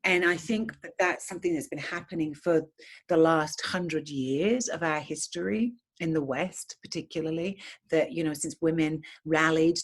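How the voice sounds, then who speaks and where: A woman speaks as a podcast guest in a low, husky voice. She talks with fervent conviction and often repeats a word while she reaches for the next thought. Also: moderate pace. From England. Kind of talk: impassioned conversational podcast talk.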